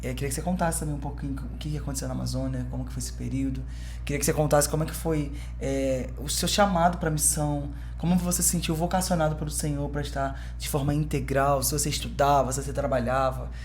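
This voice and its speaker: A man hosting a podcast, upbeat and welcoming, speeding up when excited. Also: on the high side, quick pace, slight background noise. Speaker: podcast host. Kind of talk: casual podcast conversation.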